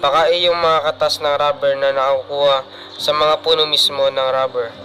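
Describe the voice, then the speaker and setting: Guy playing an indulgent filipino papa, bright sharp voice, amateur radio drama, faint music. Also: fairly high pitch, level tone, moderate pace. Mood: instructing. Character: indulgent, protective middle-aged father of a grown daughter. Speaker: student radio drama actor.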